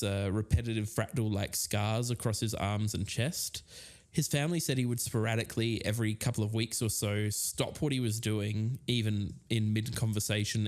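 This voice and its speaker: Actor as amiable guy co-hosting a spooky mystery podcast, lowish voice, chatty documentary storytelling. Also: close to an everyday voice. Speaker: podcast co-host. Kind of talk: conversational documentary podcast narration.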